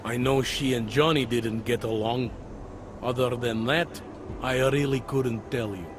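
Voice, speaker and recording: An actor playing a weary, self-deprecating older cop near retirement in a video game, talking in a wry, conversational way. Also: male voice, medium pitch, steady tone, measured pace, noisy background, close to an everyday voice. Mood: matter-of-fact. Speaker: police officer. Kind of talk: video game cutscene dialogue. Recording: background music under dialogue.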